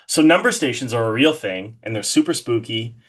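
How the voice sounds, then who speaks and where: A man's voice: mid-range voice, lively intonation, fairly quick, fairly bright tone. A man chatting casually as an interview guest, rambling and enthusiastic, often hesitating as he works out his thought. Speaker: guest, horror artist being interviewed.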